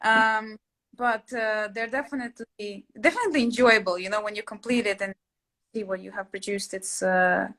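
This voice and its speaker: A woman's voice: mid-range voice, steady tone, moderate pace, a bit bright. A young woman from Latvia whose first language is Russian, chatting casually as host with many fillers and restarts. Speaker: channel host, interior sketch artist. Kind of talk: casual conversation.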